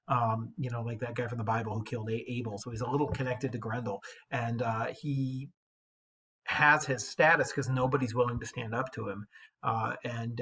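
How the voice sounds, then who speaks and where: A man giving a casual lesson as a literature teacher, informal and halting, with slangy asides. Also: medium pitch, a bit bright, husky. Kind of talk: casual explanatory lesson commentary.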